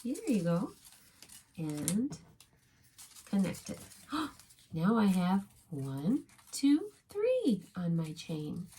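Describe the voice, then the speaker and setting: A woman's voice: fairly low pitch, wildly swooping pitch, very slow, long pauses, warm tone, slight background noise. A woman teaching a craft step by step to young children, speaking as a preschool teacher in simple, encouraging phrases.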